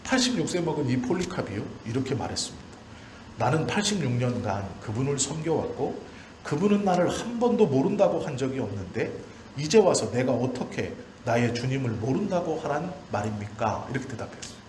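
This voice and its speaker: A man in his forties delivers a sermon as a pastor, at a middling pitch. He builds his points in short, emphatic, repeated phrases. The room echoes noticeably around his voice, with faint background noise. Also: big pitch swings, fairly quick, darkish tone. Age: 40s. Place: church hall during a service. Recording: roomy church echo, faint background noise.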